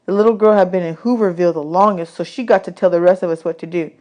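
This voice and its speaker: A woman reading a children's novel aloud as expressive audiobook narration. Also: fairly low pitch, fast. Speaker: audiobook reader.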